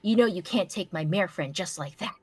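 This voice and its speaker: A woman's voice: lowish voice, animated, a little fast, a little mellow, slightly rough, no echo. A woman voicing a vengeful, melodramatic jilted unicorn pony from a fan story. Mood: cold challenge. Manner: melodramatic, menacing.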